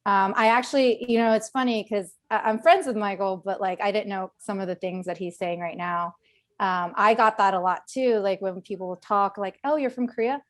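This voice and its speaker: A woman veteran talks casually on a webinar panel, chatty and full of fillers and restarts. Her voice is mid-pitched and sits close and dry, with no room sound. She speaks over a video call. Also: steady tone, normal speed, dry recording. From Northern Virginia, USA.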